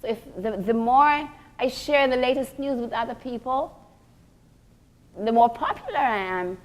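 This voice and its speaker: A woman's voice: on the high side, lively intonation, a little slow, low-quality recording. A woman teaching a religious class to women in an earnest, didactic way, often posing rhetorical questions and stressing her points. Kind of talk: religious lecture to a group of women.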